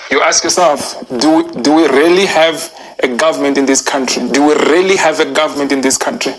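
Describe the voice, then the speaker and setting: A man with a South African accent giving impassioned, off-the-cuff political commentary in an emphatic, repetitive, halting way. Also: a little high, fairly bright tone, a little hiss or hum, low-quality recording.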